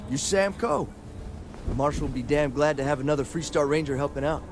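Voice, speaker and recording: An actor voicing a plain-spoken frontier city guard in a video game, folksy yet official. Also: male voice, a little high, a little fast, music in the background, natural voice. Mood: dutiful, cautioning.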